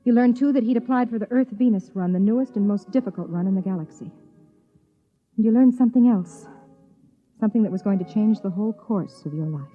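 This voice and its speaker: A woman's voice: mid-range voice, soft background music, close to an everyday voice. Woman acting a dramatic young wife, muffled old radio. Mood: wistful, brooding. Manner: dramatic, deliberate, intense. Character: lonely, anxious young wife narrating her own story. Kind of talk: 1950s radio drama, second-person narration. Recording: dull old radio recording.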